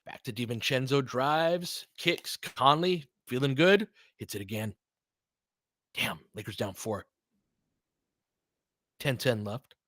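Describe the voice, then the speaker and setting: A man's voice: medium pitch, expressive, takes it slowly, long pauses, fairly bright tone, no echo, studio quality. A man giving casual, conversational basketball play-by-play and analysis, with short punchy calls and slangy language.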